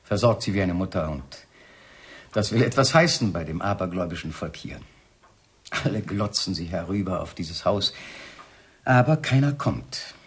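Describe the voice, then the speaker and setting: An actor playing a cultured, controlled doctor in a radio play, speaking in a measured, urbane, coaxing way. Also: male voice, deep voice, expressive, husky, heavily acted. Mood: coolly matter-of-fact. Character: cultured doctor, controlled and hospitable, coolly resigned to his afflicted wife. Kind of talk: 1970s German radio drama acting. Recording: noisy 1970s radio play recording.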